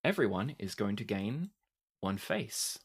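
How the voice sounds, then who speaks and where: Deliberate man running a tabletop game. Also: fairly low pitch, very animated, slightly rough. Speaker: game master. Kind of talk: narrating a tabletop roleplaying game.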